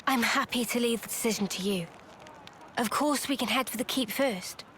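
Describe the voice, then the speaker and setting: A woman playing an earnest, gentle young female warrior in a video game, sincere and a little hesitant. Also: a little high, moderate pace, a little hiss or hum, natural voice. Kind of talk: video game cutscene acting.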